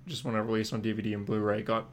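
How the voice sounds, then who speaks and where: A man co-hosting a podcast in casual news chat, with a hesitant, apologetic and halting way of talking. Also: on the low side, level tone. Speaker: podcast co-host.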